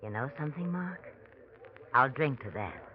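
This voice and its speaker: Coy noir flirt, lilting. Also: female voice, extremely low pitch, animated, moderate pace. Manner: coy, teasing. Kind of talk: 1940s radio acting. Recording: muffled old radio, faint music.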